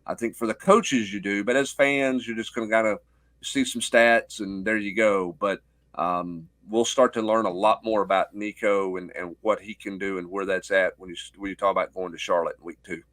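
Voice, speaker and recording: Low-voiced middle-aged sports analyst. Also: male voice, steady tone, normal speed, a bit bright, no echo. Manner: explanatory, punctuated with rhetorical questions and check-in tags. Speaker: sports journalist guest.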